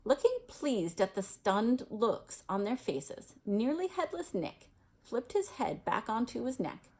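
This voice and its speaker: A woman's voice: a little high, very animated, unhurried, a little mellow. A woman reading a storybook aloud expressively, as a storyteller who adds casual asides.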